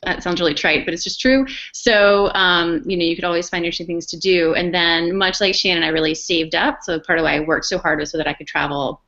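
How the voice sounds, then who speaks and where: A woman's voice: on the low side, fairly even intonation, brisk, few pauses, pushed and bright. A young woman speaking casually and with animation on a Q&A panel. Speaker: panelist, former English teacher. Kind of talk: Q&A panel talk. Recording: dull lo-fi recording.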